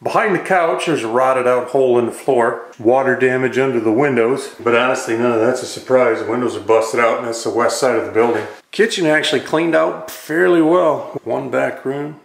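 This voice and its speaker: A middle-aged man talks through his work in an easygoing, wry way, like a casual vlog. His voice sits at an ordinary pitch and is picked up by a camera mic outdoors in a pine forest. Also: normal speed, gravelly, hardly any pauses, no room sound. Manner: easygoing, wry, matter-of-fact. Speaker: vlogger.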